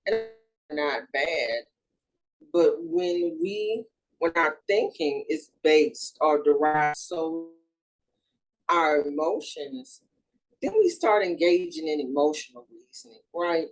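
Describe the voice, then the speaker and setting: A woman in her thirties from Houston, a grief and trauma therapist, giving an earnest, measured presentation with small fillers and self-corrections. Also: low-pitched, lively intonation, low-quality recording. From Houston, Texas. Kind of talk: recorded educational presentation.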